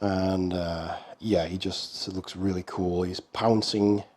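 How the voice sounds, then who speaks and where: A hoarse man with a cold hosts an unboxing in a casual, unhurried way. He talks in a low-key manner with many pauses. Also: steady tone, a little mellow. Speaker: board game channel host.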